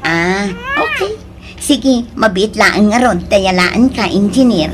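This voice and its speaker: A woman's voice: mid-range voice, big pitch swings, takes it slowly, over music. An actress playing an eager, easily impressed young female food server in a comic radio drama, exclaiming as she talks. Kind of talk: comedic radio drama acting.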